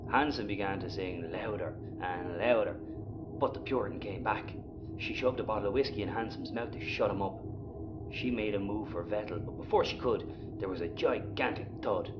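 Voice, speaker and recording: An actor playing a grumpy, sarcastic, exasperated spaceship captain in a sci-fi audio comedy. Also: male voice, on the low side, normal speed, muffled recording, background noise, close to an everyday voice. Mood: grudging storytelling. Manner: exasperated, sardonic.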